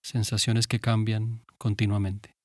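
A man guiding a meditation in a calm, gentle, unhurried manner with pauses. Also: fairly low pitch, dry recording, studio quality. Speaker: meditation guide. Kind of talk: guided meditation.

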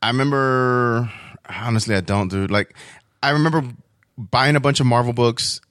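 A man chatting casually as a guest, slangy and informal, recalling things haltingly. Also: medium pitch, a bit bright, dry recording, studio quality. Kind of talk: casual chat, reminiscing.

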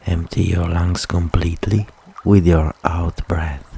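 A man leading a guided relaxation meditation with soft, soothing, unhurried instructions and long pauses. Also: deep voice, little pitch movement, slightly rough.

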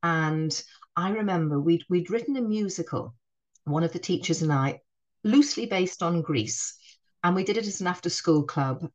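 Woman, middle-aged, Northeast English accent, lowish pitch, reflective, restarts sentences. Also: low-quality recording. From Northeast England. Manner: warm, reflective, frequent restarts. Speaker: head teacher, guest. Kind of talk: conversational podcast interview.

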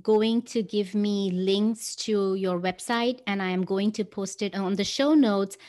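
A woman hosting a podcast interview in an even, mid-pitched voice. She paces her introductions with care and slows down on the words she stresses. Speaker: podcast host.

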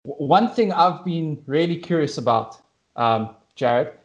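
A man's voice: fairly high pitch, lively intonation, a little slow, highs cut off. A man from South Africa hosting a casual interview, asking questions with enthusiastic curiosity. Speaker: interview host.

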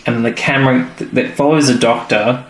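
A man's voice: mid-range voice, fairly even intonation, fairly quick. Easygoing man recapping, echoey room. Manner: easygoing, recaps scenes with loose fillers. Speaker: podcast co-host. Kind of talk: casual chat recapping an episode. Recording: echoey room, faint background noise.

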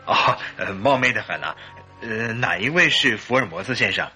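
An actor playing an anxious, impatient man of about thirty in a radio drama, stammering in a strained, sharp voice. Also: fairly low pitch, expressive, normal speed, faint music behind. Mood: flustered, anxious. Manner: agitated, stammering, hesitant. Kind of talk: Chinese radio drama acting.

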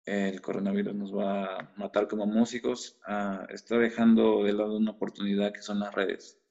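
A man with a low voice and a Peruvian Spanish accent speaks as a guest on an interview. He talks in a measured, thoughtful way, pausing now and then. The audio comes through a dull, lo-fi line. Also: little pitch movement, gravelly, darkish tone. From Peru. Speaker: musician guest. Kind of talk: casual interview chat.

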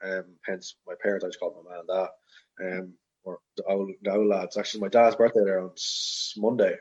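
A man's voice: low-pitched, flat delivery, fairly quick, darkish tone, husky. A young Irish man in his twenties chatting casually and ramblingly as a podcast guest. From Ireland. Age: 20s. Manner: rambling, informal. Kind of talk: casual personal chat. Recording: slightly dull, lo-fi audio.

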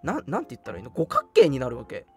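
Animated, colloquial storyteller over music. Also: mid-range voice, racing. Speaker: radio show host. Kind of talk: casual chat, storytelling. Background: background music.

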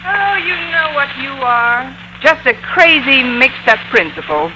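Wry schoolteacher, high swooping voice. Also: female voice, sing-song, unhurried. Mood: mock-romantic. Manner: wry, mock-romantic delivery. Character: wry, dry-witted female high school English teacher. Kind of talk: 1950s radio sitcom acting. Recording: noisy old-time radio recording.

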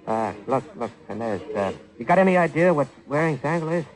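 Brusque, quick-talking crook played by a man. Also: mid-range voice, expressive, lightly acted. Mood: scornful, wary. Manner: slangy, brusque, defensive. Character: evasive, hot-tempered male crook posing as businessman. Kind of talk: mid-century radio crime drama acting.

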